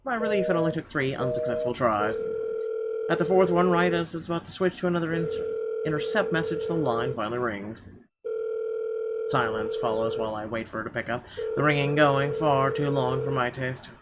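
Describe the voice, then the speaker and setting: Man narrating over clearly noisy background. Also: medium pitch. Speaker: gaming video host reading aloud. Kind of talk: dramatic narration of visual novel text. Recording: clear background noise behind voice.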